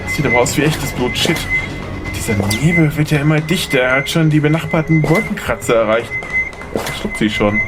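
A man's voice: fairly high pitch, fairly quick, loud music behind the voice, close to an everyday voice. An actor playing a confused, sarcastic man on the run in a radio play, wry and exasperated. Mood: tense.

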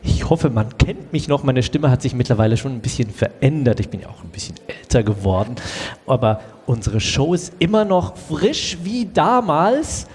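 A man's voice: mid-range voice, sing-song, normal speed, a little mellow, lightly acted. A man playing an ageing, jovial children's TV host with curious, teasing questions in an improvised audio play. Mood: showy welcome. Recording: very echoey hall, clear background noise.

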